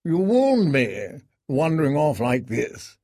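Man doing a sadistic young lord, slow, mocking, a touch raised. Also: a little high, very animated, mellow, no room sound, clean studio sound, heavily acted. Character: cruel, smiling young lord on horseback.

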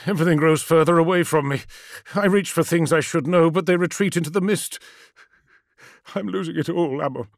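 A man's voice: a little high, big pitch swings, normal speed, vocal fry, fairly bright tone, no room sound, full character voice. A towering male demigod warlord, imperious and broken by anguish. His raised voice swings widely as he declaims, recorded dry and close. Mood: anguished confusion.

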